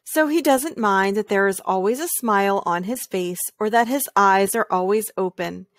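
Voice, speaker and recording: A woman reading a children's picture book aloud in a calm, gentle, measured way, pausing between phrases. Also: mid-range voice, no echo, studio quality. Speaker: read-aloud storyteller.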